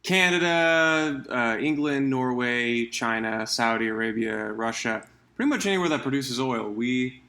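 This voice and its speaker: A man's voice: mid-range voice, a little slow, hardly any pauses, sharp tone. A man, the podcast's producer, giving plain, matter-of-fact updates about the audience. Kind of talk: casual conversational podcast.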